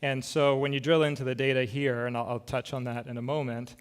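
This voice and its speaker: A man's voice: medium pitch, flat delivery, brisk, clear, resonant tone. Casual man on stage. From Scotland. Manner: casual, self-deprecating, frequent filler words. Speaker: conference keynote speaker. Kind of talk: conference talk with slides. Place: conference stage. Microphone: stage microphone.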